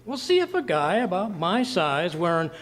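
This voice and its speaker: High-pitched, flustered old-time radio husband played by a man. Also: moderate pace. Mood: puzzled, suspicious. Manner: folksy, stammering, excitable.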